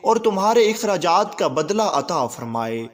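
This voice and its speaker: A man's voice: high-pitched, sing-song, measured pace. A man playing an earnest, devout humble pilgrim. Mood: wistful, blessing. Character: devout, compassionate male pilgrim, humble stranger.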